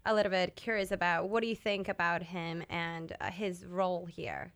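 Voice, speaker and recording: A woman's voice: fairly low pitch, normal speed, fairly bright tone, no room sound. A woman from Venezuela interviewing a guest, asking curious, conversational questions.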